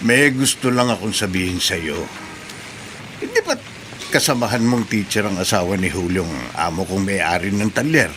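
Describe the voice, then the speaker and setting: A man's voice: low-pitched, normal speed, noisy background, close to an everyday voice. An actor with a Filipino accent playing a concerned, suspicious workman who speaks earnestly in a radio drama. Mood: worried, confiding. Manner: earnest, confiding. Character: concerned, suspicious male auto-shop worker, adult.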